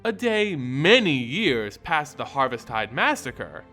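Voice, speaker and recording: A man reads as a wary werewolf woman leading heroes in a fantasy tale. She speaks earnestly and with care, in a bright, expressive voice. Music plays faintly underneath. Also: medium pitch, takes it slowly, pitched below the performer's own voice, exaggerated voice. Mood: resolute. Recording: voice over background music.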